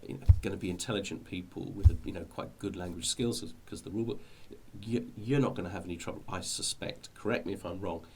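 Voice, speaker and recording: A middle-aged British man with a fairly low voice speaks as an informal video host. He explains things in a rambling, hesitant way, often doubling back on his words. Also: quick pace, vocal fry, hardly any pauses, a little hiss or hum, rough recording. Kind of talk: informal explanatory talk to viewers.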